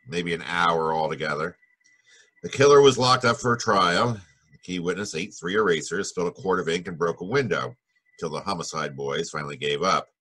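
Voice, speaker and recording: An actor playing a hardboiled, wisecracking American private detective in a 1940s-style radio noir. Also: male voice, deep voice, pushed and bright, husky, exaggerated voice, rough recording.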